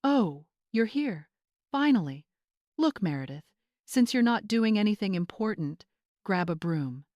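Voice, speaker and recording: A woman's voice: mid-range voice, big pitch swings, measured pace, darkish tone, no room sound, muffled recording, voice pushed up. A woman voicing a spoiled, bossy young bride influencer in a narrated story, snapping, scornful and entitled. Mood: sneering, bossy.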